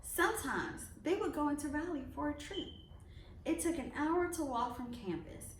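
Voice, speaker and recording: A woman reading a children's picture book aloud in an expressive storytelling way. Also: high voice, normal speed. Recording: echoey room, distant mic, faint noise.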